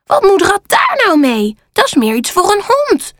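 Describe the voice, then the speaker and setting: A woman as a very high, excitable little bird. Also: unhurried, dry recording, clean studio sound, exaggerated voice. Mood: dismissive. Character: scrappy little male bird, keen on shiny things. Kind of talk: children's storybook character voice.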